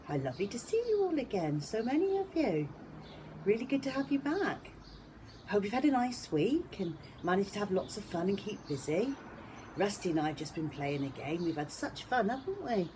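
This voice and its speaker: A woman reading a storybook aloud to young children as a teacher, in a deliberate, expressive manner. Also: mid-range voice, background noise.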